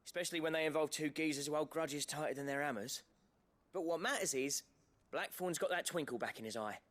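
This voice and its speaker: A man's voice: on the high side, a little fast, a bit bright, lightly acted. An actor with an English accent playing a good-natured, wry working man in a video game. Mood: cheery, wry. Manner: colloquial, wry. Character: chirpy, good-natured, folksy male working-class villager. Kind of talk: dramatic video game dialogue.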